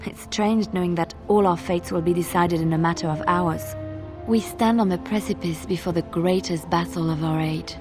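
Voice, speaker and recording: A devoted, idealistic heroine played by a woman with a lowish voice. She speaks lyrically and tenderly in a fantasy game manner over clearly audible music. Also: steady tone, moderate pace, lightly acted. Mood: tender, resolute. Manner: lyrical, heartfelt. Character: devout, tender female adventurer, devoted and idealistic. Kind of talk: video game voice acting. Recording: music behind the voice.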